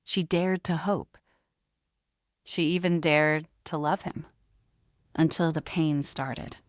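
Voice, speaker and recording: A woman with a lowish voice narrates a dramatic story. Her delivery is measured and emotive, captured close and dry with no room sound. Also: steady tone, no echo.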